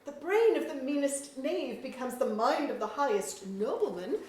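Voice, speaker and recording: A woman's voice: sits high, lively intonation, normal speed, darkish tone, slight background noise. A woman playing a proud, witty, scolding Lord Chamberlain in a staged reading of mock-Shakespearean drama, with emphatic, formal archaic speech. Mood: stern, lecturing. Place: museum auditorium. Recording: echoey auditorium, distant mic.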